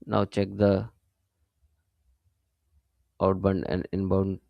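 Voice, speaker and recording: A man narrating a step-by-step software tutorial in a plain, methodical, explanatory way. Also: sits low, monotone, takes it slowly, creaky, no echo, highs cut off. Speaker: software instructor.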